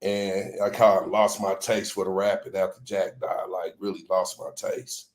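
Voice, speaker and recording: A man appearing as a guest in a casual podcast interview, speaking in a relaxed, slangy way and ending his phrases with "man". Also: low voice, normal speed. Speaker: music promoter guest.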